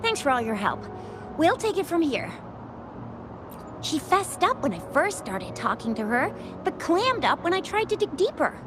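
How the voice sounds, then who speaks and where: A woman playing an earnest, spirited young woman in a video game, talking in an expressive, colloquial way. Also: high-pitched, moderate pace, music in the background. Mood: earnest, businesslike. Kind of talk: video game character dialogue.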